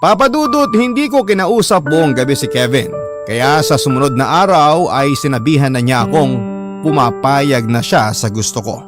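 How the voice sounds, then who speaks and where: A man's voice: medium pitch, lively intonation, moderate pace. A man with a Filipino accent narrating a first-person confessional love story as a scripted radio drama letter. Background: music.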